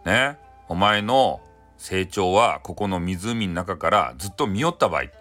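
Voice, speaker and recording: A man voicing a great, tender mother dragon of the lake, declaring things slowly in Hakata dialect. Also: deep voice, lively intonation, pushed and bright, soft background music, pitched below the performer's own voice, exaggerated voice. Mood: tender, solemn.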